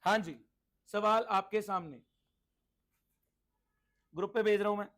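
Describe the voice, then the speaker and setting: A man's voice: high-pitched, measured pace, a bit bright. A man teaching a class interactively, instructive and repetitive, often checking understanding with questions and mixing in English terms. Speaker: accountancy teacher.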